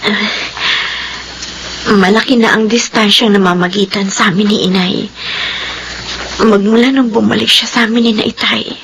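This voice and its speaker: A woman's voice: medium pitch, fairly even intonation, moderate pace, vocal fry, pauses often, a bit bright, slight background noise, poor audio. An actress playing an earnest, emotional grown daughter, guilt-ridden and yearning for her mother, in a Filipino radio drama. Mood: hesitant, reserved. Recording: radio drama with strong music underneath.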